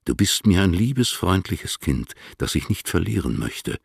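Very deep, gravelly elderly poet. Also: male voice, sing-song, heavily acted. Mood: fond, admonishing. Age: older. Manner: measured, formal, solemn diction. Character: elderly male poet, fond, measured, gently admonishing. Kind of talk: literary radio drama, reciting letters.

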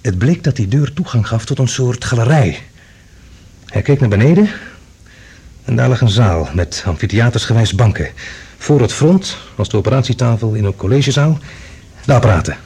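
A man's voice: medium pitch, expressive, moderate pace, warm tone, husky. A male actor playing an agitated, earnest man bringing alarming news in a science fiction radio play. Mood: grave, tense. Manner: urgent, earnest, dramatic.

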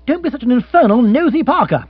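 A husky, echoey, muffled storybook portrayal of an exasperated young aristocrat, acted by a man. The voice is high and leaps about as he blusters in exclamatory slang. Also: very animated, normal speed, full character voice. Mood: indignant. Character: exasperated young English lord, blustering and incredulous. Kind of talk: comic audiobook character acting. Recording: muffled, echoey recording with hum.

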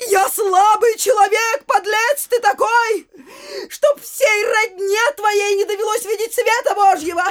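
Casting: a high-voiced working townswoman in stage comedy, loud, weepy complainer with a mouth full of curses. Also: steady tone, measured pace. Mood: aggrieved, cursing. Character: wailing, cursing locksmith's wife, a townswoman petitioner. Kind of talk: theatrical audio drama comedy acting.

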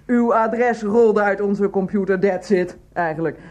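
An actress playing a businesslike, polite survey interviewer in a radio play, formally putting questions. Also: female voice, medium pitch, unhurried, a little mellow, dull audio, close to an everyday voice.

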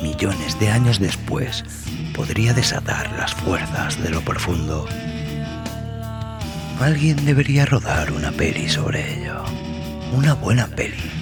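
A man narrating dramatic scripted horror as a podcast host, brooding, theatrical and deliberate in his delivery. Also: sits low, animated. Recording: loud music under the voice.

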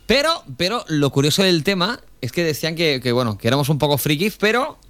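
A man's voice: a little high, big pitch swings, a little fast, a bit bright. A man with an accent from Spain hosting a casual radio chat, bantering informally and often checking for agreement. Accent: Spanish from Spain. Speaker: radio host.

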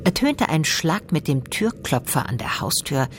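An actress playing a poised storyteller, reading scripted literary narration in a radio drama. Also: female voice, deep voice, big pitch swings, unhurried, slight background noise. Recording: faint background ambience.

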